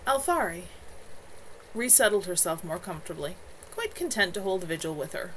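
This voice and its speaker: A woman reading her own novel aloud as an author on a home livestream, in an expressive amateur narration style. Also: on the low side, moderate pace, slight background noise, poor audio. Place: at home, in a room with a bed.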